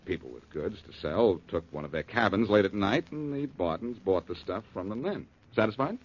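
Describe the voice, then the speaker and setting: An actor with an American accent playing a confident, wry detective in a 1940s radio drama, assured and clipped. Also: male voice, fairly low pitch, lively intonation, normal speed. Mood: wry, explaining. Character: confident male detective, cool, wry and unflappable. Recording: muffled vintage 1940s radio recording.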